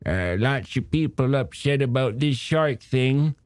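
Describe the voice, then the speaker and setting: A man's voice: mid-range voice, expressive, measured pace, a little mellow, clear, resonant tone, no echo, voice pushed up, close to an everyday voice. A man voicing a gossipy, folksy male small-town shopkeeper in an audiobook, speaking in a hesitant, stammering way. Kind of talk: audiobook character dialogue.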